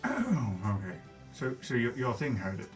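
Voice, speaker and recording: A man's voice: mid-range voice, wildly swooping pitch, normal speed, soft background music. A man who plays a tabletop roleplaying game, speaking casually and jokingly as he relays his character's words.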